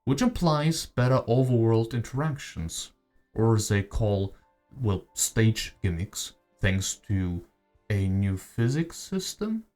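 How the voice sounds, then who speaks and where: A man's voice: lowish voice, animated, slow pace, darkish tone. A man giving casual gaming commentary as a voice-over, conversational and opinionated, often posing rhetorical questions. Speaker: gaming video essayist. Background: background music.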